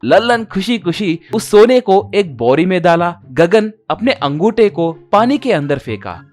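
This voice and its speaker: Male narrator for a children's-style fable: slow, expressive, swooping intonation over light music. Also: high voice. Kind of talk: scripted storytelling narration for a cartoon moral tale.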